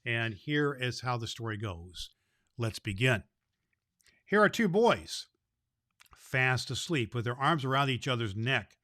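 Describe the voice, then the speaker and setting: A man playing a kindly old Santa Claus, hosting a children's Christmas show in a folksy, deliberate storyteller's manner. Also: medium pitch, lively intonation, bright, forceful tone, no echo, clean studio sound. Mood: gentle storytelling. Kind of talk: scripted announcements and storybook reading for children.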